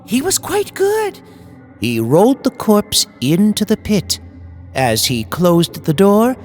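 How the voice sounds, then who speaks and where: A man reading scripted horror in an old-time radio style, with dramatic, deliberate, suspenseful delivery. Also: mid-range voice. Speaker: horror story narrator, channel host.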